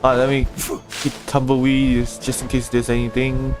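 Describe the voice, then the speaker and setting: A man's voice: medium pitch, completely flat delivery, normal speed. A man casually commentating live gameplay as a streamer, thinking aloud as he goes. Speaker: game livestreamer. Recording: game music behind the voice. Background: video game music.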